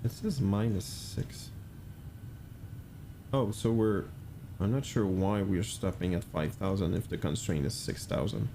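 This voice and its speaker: A man's voice: low-pitched, unhurried, long pauses, mellow, slight background noise. A man streaming a flight simulator, chatting casually, thinking aloud and answering chat with many fillers.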